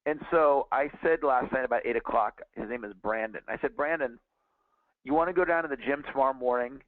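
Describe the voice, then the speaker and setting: A man's voice: mid-range voice, fairly quick, no echo. A man in his forties, a sales trainer, talks earnestly and conversationally, often restarting his sentences. His voice comes through a narrow, tinny phone line. The sound is dry, with no room around it. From California. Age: 40s. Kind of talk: motivational teaching call.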